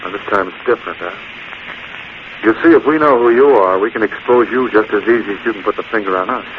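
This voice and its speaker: An actor playing a tough, slangy, cocky American racketeer boss in a 1940s radio drama. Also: male voice, fairly low pitch, fast, lightly acted. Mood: cocky, bargaining. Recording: muffled, noisy 1940s radio recording.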